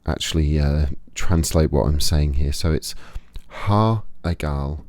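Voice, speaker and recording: A man with a Yorkshire accent, giving a casual, unhurried spoken lesson to camera with pauses and fillers. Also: very deep voice, darkish tone, dry recording. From Yorkshire, England. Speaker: esoteric practitioner and channel host. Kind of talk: casual instructional talk to camera.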